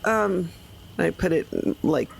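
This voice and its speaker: A woman's voice: lowish voice, lively intonation, slow, vocal fry, poor audio. A woman playing a tabletop role-playing game, casually describing what her character does. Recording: faint background noise.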